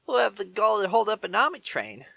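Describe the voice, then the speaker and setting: A man's voice: high-pitched, huge pitch swings, brisk, gravelly, bright, forceful tone, no room sound, heavily acted. A man playing a blunt Old West wagon driver with folksy, exclamatory talk in a comic dub. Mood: scoffing.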